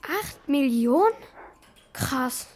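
Curious schoolboy, high voice, hesitant, slangy, a bit worried, children's radio play. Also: female voice, animated, extremely slow, a little mellow, heavily acted.

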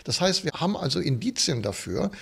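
Gravelly academic man, lively pitch. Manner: academic, measured, with fillers. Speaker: religious studies scholar. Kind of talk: interview soundbite.

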